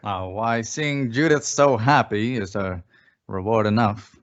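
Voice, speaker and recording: A man's voice: on the low side, a little slow, dull audio, natural voice. A man acting as an easygoing, folksy old man in an old-time radio suspense drama. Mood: genial.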